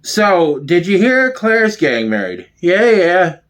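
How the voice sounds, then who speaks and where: High-voiced man giving a theatrical reading. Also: big pitch swings, slow pace, fairly bright tone. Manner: theatrical, switching between characters. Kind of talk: one-man dramatic reading of a sitcom script.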